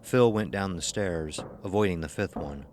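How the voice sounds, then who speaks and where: A man's voice: deep voice, moderate pace, a little mellow. A man reading a scripted horror story as narrator, on a noisy recording.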